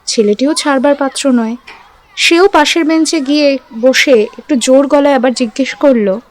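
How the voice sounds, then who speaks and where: A woman's voice: high voice, normal speed, rough recording. A woman reading a scripted audio story as its narrator. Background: background music.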